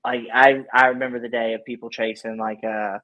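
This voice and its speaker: A man with a mid-range voice hosts a relaxed podcast interview. He talks informally and with plenty of slang, putting his opinions in as he asks questions. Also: medium pitch, a little fast, highs cut off. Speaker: podcast host. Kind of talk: casual interview chat.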